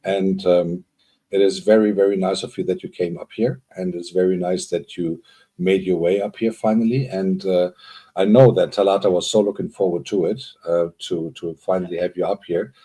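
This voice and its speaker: A man's voice: low voice, fairly even intonation, normal speed, warm tone, husky. A man co-hosting a livestream, chatting in a rambling, friendly way with guests. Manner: rambling, friendly, rising emphasis. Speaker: livestream co-host. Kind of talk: casual livestream chat.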